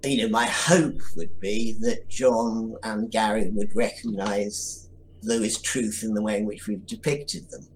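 A man's voice: lowish voice, fairly even intonation, poor audio. Thoughtful man with faint noise behind him. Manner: measured, tentative, qualifies his answers. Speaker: interview guest, writer. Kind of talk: thoughtful conversation.

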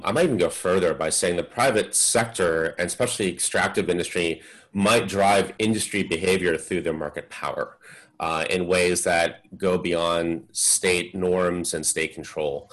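A man speaking as an expert on a webinar panel, measured and filling pauses with um and uh. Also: low voice. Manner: measured, lots of um and uh.

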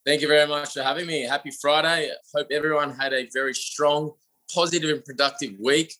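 A young Australian man, a podcast guest, telling his story in an upbeat, energetic way with frequent fillers. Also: on the high side, brisk, low-quality recording. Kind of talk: casual interview talk.

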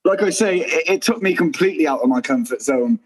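An earnest man in a casual reunion chat, thanking everyone with plenty of restarts. His voice sits a little on the high side. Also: lively intonation, fairly quick, husky. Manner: earnest, grateful, with many restarts. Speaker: cast member.

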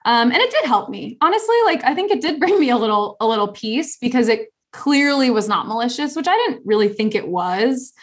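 A woman's voice: fairly high pitch, very animated, brisk, few pauses. A young adult woman hosting a podcast, talking earnestly in casual conversation with lots of fillers and restarts. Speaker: podcast host.

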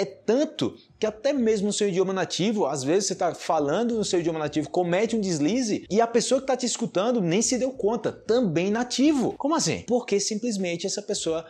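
A man's voice: sits high, big pitch swings, brisk, few pauses, darkish tone, breathy edge. A man with a Brazilian Portuguese accent talking informally as a teacher and podcast host, explaining things to learners in a conversational way and repeating points for emphasis.

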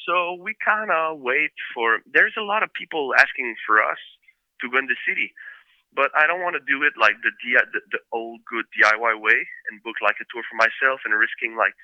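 A man's voice: mid-range voice, a little fast, no room sound, phone-quality sound. A man from Quebec with a French Canadian accent, a band member talking loosely in a casual interview, full of fillers and restarts.

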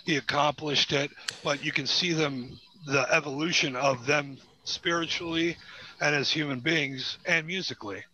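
A man's voice: a little high, steady tone, normal speed, sharp tone, no echo, rough recording. A man in his forties chatting casually and emphatically, swearing freely. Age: 40s. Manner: heavily profane, emphatic. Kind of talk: casual chat.

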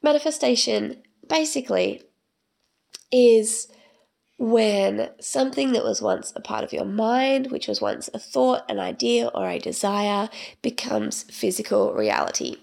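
A young woman hosting her own podcast, chatting in a rambling way with many ums, her voice croaky from the tail end of a cold. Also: a little high, big pitch swings, measured pace, a little mellow. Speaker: podcast host. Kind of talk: casual solo podcast monologue.

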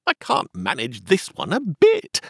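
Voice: mid-range voice, wildly swooping pitch, a little slow, gravelly, husky, dry recording, clean studio sound, pitched above the performer's own voice, heavily acted. A narrator voicing a flustered little storybook King, panting and plaintive.

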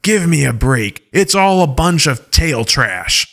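A man's voice: medium pitch, very animated, takes it slowly, fairly bright tone, pitched above the performer's own voice. A man voicing an angry, sarcastic wolf-pack leader who mocks and scolds. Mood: furious. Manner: mocking, indignant outbursts.

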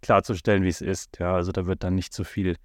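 A man talking calmly and at length on a casual podcast, giving advice. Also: low voice, no room sound, clean studio sound. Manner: calm, measured, explains at length with fillers. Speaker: podcast co-host.

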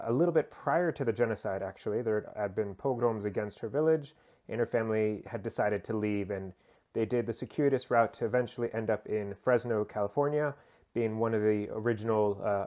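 A man's voice: lowish voice, fairly quick, a little mellow. A man from the United States, a lawyer and guest, answering interview questions conversationally over a remote call, with frequent fillers and restarts. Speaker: guest, human rights lawyer.

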